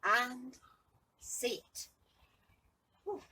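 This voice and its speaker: A woman playing a bewildered, lovestruck young man in a Shakespearean monologue, speaking haltingly and with wonder. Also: sits high, animated, pitched above the performer's own voice, full character voice. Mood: dazed wonder. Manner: halting, wondering, shifting from hushed to exclaiming. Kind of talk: Shakespearean stage monologue, verse acting. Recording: distant mic with light background noise.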